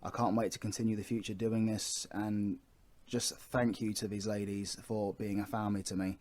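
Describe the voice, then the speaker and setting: A British man with a fairly low voice introduces his audio work off the cuff. He is chatty and earnest, talking directly and informally to his listeners. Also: level tone, moderate pace, a little mellow. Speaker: voice actor and audio roleplay creator. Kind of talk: unscripted, heartfelt introduction to listeners.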